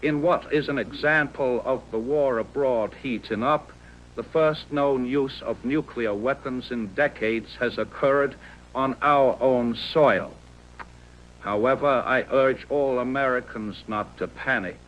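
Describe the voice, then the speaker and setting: A man playing a solemn American president giving a formal, deliberate, reassuring scripted address to the nation. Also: medium pitch, dull audio, lightly acted. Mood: solemn, resolute.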